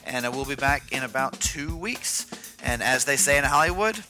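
A man's voice: medium pitch, lively intonation, moderate pace, music in the background. A man co-hosting a podcast, chatting and joking with enthusiasm. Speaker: podcast co-host. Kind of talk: casual podcast chat.

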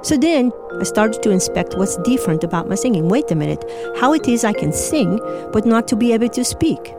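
A woman with a foreign-sounding accent tells a personal story in an emotional, halting manner. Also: on the high side, sing-song, over loud music. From Indiana, USA. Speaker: storyteller, interviewee.

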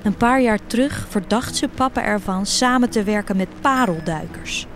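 A woman playing an earnest schoolgirl, proud of her fish farmer father, narrating a radio play in a measured storytelling way. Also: mid-range voice, slight background noise, close to an everyday voice. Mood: earnest, recounting.

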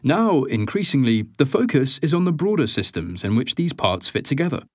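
Male nonfiction narrator: measured and articulate, lively intonation, dry studio-like sound. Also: mid-range voice, no room sound. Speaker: audiobook narrator.

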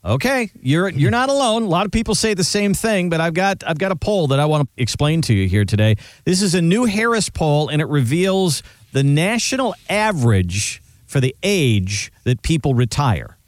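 A man co-hosts a radio show with an upbeat, chatty delivery. His voice sits fairly high and swings widely in pitch, and it is recorded dry and close in the studio. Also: moderate pace, no echo. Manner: upbeat, animated, leading questions. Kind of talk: conversational radio finance talk.

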